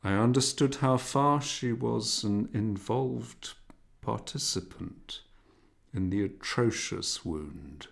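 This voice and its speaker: A man narrating a literary novel as an audiobook, in a measured, expressive, dramatic reading manner. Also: lowish voice, gravelly.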